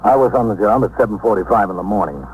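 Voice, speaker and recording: An actor playing a sober American police captain, narrating a case in an official, measured 1950s radio style. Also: male voice, lowish voice, expressive, a little mellow, breathy edge. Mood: matter-of-fact. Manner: matter-of-fact, official, measured. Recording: noisy 1950s radio recording.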